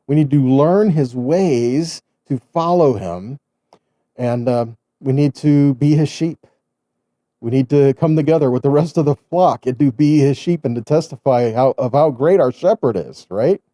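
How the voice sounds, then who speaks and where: A man's voice: a little high, dark tone, no room sound, clean studio sound. A man giving an informal sermon as a Bible teacher, earnest and exhorting, often checking in with rhetorical questions. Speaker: Bible teacher, host of online Torah studies.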